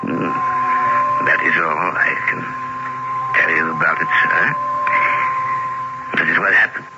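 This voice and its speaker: An actor playing a grave, guilt-ridden doctor haunted by a monster, with formal, dramatic delivery in a vintage radio horror drama. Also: male voice, extremely low pitch, fairly even intonation, slow, exaggerated voice. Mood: haunted, fearful. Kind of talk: 1940s-1950s radio horror acting. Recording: muffled old radio recording with loud music.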